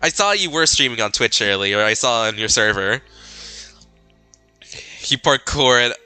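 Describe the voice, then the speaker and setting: A man live-streaming a game with goofy, excitable commentary, reading the text aloud with a slightly stuffy nose. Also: medium pitch, measured pace, clean tone, faint music behind.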